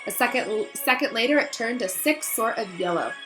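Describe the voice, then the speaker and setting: A woman reading a children's novel aloud in expressive storybook narration. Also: on the high side, fairly quick. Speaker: storybook reader. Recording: roomy echo, faint music behind.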